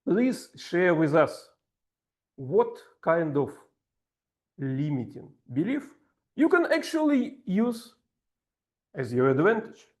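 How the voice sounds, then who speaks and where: A man with a heavy Russian accent, a native Russian speaker, hosting a club session as table topics master in slow, halting English with playful asides. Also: sits high, very animated, a little mellow.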